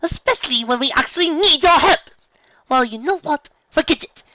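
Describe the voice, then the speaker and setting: A reader voicing a haughty fashionable mare who yells cruel insults. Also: sits high, animated, normal speed, muffled recording, a little hiss or hum, voice pushed up. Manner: yelling, growling insults.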